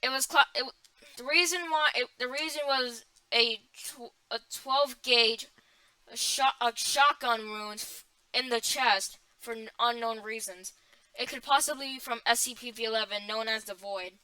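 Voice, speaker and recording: A woman's voice: a little high, normal speed, bright, forceful tone, clear, resonant tone, no room sound, poor audio. A woman playing a flustered emergency alert announcer in an amateur scripted broadcast, stumbling and restarting phrases. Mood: flustered, reporting.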